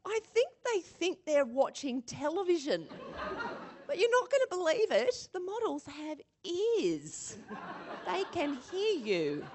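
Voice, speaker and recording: A woman giving a motivational keynote speech as an animated storyteller who acts out quotes and laughs often. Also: very high-pitched, slow, noisy background. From born in Singapore. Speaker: keynote speaker.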